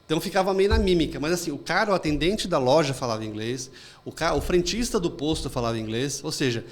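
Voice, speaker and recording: A man's voice: on the high side, lively intonation. A man hosting an interview, chatting casually and telling anecdotes. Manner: fast, chatty storytelling with anecdotes. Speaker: podcast host.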